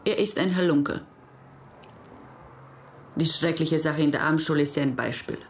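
A woman's voice: low voice, moderate pace, a little hiss or hum, lightly acted. An actress playing a frank, unashamed older woman under police questioning in a German radio crime drama, blunt and precise. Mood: frank, unruffled.

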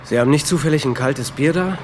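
An actor playing a drunken, nervous husband in a radio play, hesitant and stammering. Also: male voice, a little high, fast talker, slight background noise, close to an everyday voice. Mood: uneasy, casual. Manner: hesitant, stammering, repeats himself.

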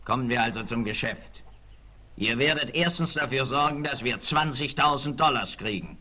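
An actor playing a cunning bandit leader in a 1960s German radio play, mocking and menacing in mock-polite phrases. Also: male voice, medium pitch, moderate pace, sharp tone. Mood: cold, threatening.

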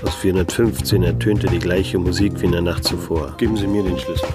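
A man's voice: low voice, steady tone, brisk, natural voice. An actor playing a world-weary private detective in a noir radio drama, terse and sober as he tells his case. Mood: sober, tense. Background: music.

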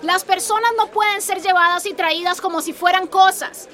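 Radio drama: actress as anxious but principled mother, strikingly high bright voice, heartfelt. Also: fairly even intonation, normal speed, some chatter behind. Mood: indignant. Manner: earnest, emotional. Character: worried, compassionate migrant mother standing her ground. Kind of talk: Spanish-language radio drama acting.

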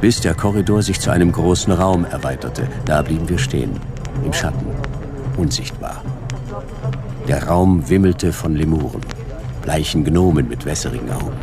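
A man playing a wry, laconic detective with a gravelly voice and a dry, clipped, deadpan delivery in a noir radio drama. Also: rumbling low, normal speed. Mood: deadpan narration. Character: hard-boiled male detective, wry and laconic. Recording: music behind the voice.

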